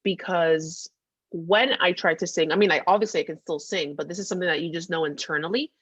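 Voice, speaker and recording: A woman's voice: lowish voice, a little fast, fairly bright tone, slightly rough, no room sound. Female podcast co-host, casual and emphatic, cheering her partner on, recorded dry with little room sound. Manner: upbeat, encouraging, emphatic. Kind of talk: casual catch-up podcast chat.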